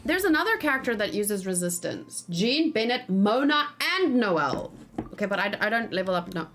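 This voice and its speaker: A woman's voice: medium pitch, moderate pace, slight background noise. Casual woman streaming a game, thinking aloud. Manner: thinking aloud, animated exclamations. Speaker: gaming streamer. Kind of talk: casual live game commentary, chatting with viewers.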